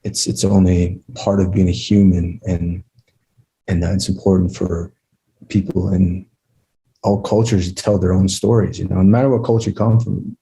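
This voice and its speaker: A man giving informal, heartfelt remarks as a guest speaker, reflective and earnest, with halting phrasing. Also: sits low, flat delivery, gravelly, mellow, poor audio. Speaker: guest speaker, screenwriter and mentor.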